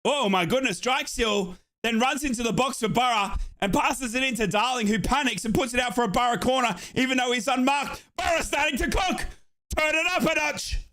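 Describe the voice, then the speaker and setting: A man hosting a live fan watchalong, calling a football match in excitable play-by-play and chanting. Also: very high-pitched, brisk. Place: home office.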